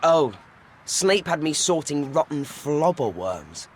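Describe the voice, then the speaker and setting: A man's voice: on the high side, sing-song, slow, a little hiss or hum. An actor playing an earnest, dutiful teenage boy wizard in a video game, plain-spoken. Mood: puzzled, earnest. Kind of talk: dramatic video game acting.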